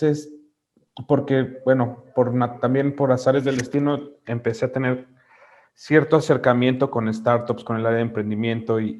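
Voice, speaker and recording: A man's voice: mid-range voice, darkish tone. A man with a Mexican Spanish accent introducing himself informally on a video call, in a hesitant, rambling way with many self-corrections. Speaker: class participant. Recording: dull lo-fi video call audio.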